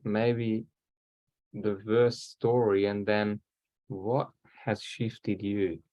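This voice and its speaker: A man hosting a podcast interview, asking reflective, halting questions. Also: on the low side, level tone, darkish tone, highs cut off. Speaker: podcast host and coach.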